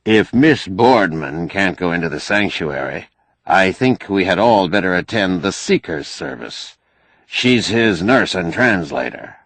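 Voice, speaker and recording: A man voicing a formal, dry doctor in an audiobook, measured, courteous and firm. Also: low-pitched, expressive, a bit bright, exaggerated voice. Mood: politely firm. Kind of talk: audiobook character acting.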